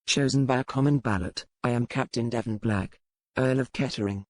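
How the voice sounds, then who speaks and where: A narrator voicing a bold, proud male pirate captain and earl, measured, solemn and commanding, as in an audiobook. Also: medium pitch, no echo, voice pushed down, close to an everyday voice. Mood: proud, resolute. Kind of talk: formal ship's log read as dramatic narration.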